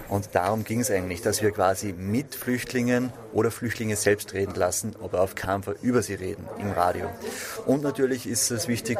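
Over clear crowd chatter, a man speaks in a low voice. He gives a spontaneous interview account, explaining a project step by step. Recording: busy crowd murmur behind.

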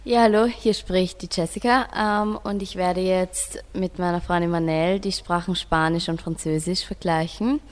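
Teen girl, voice a bit low, hesitant, explaining stuff on a school radio show. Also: steady tone, normal speed, hardly any pauses. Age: teenager. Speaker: school student in a radio project. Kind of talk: informal explaining with a friend.

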